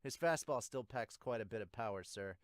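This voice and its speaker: Serious, polite young male catcher, voiced by a man in a manga dub, measured and understated, very dry sound. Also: medium pitch, lively intonation, no room sound, lightly acted. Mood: matter-of-fact.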